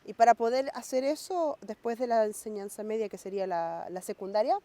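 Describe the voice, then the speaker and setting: Mellow-voiced woman, reflective interview. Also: on the high side, a little fast. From Norway. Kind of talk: documentary interview, reflecting on identity.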